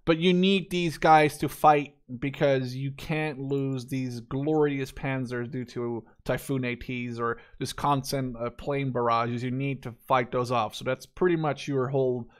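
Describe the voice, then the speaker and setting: A man's voice: medium pitch, steady tone, measured pace, few pauses. A man in his twenties giving casual, unscripted gameplay commentary in a rambling, opinionated, informal way. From Netherlands. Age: 20s. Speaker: gaming YouTuber reviewing a game deck.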